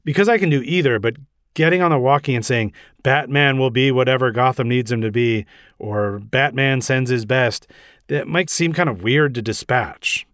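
A man's voice: medium pitch, moderate pace, fairly bright tone, dry recording, clean studio sound, natural voice. A man voicing a flustered, earnest police commissioner, stammering and rambling anxiously in a comic story reading. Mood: confused, pleading. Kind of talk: comic audiobook-style reading.

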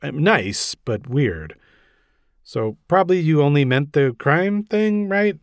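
A man's voice: a little high, sing-song, slow, dry recording, studio quality, pitched above the performer's own voice, full character voice. A man voicing a flustered, earnest police commissioner, stammering and rambling anxiously in a comic story reading. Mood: confused, pleading. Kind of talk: comic audiobook-style reading.

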